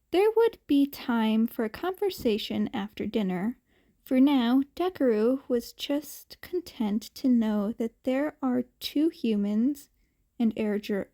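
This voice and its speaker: High-pitched woman reading a story, unhurried. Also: warm tone. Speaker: podfic reader, narrator. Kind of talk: scripted fan fiction narration.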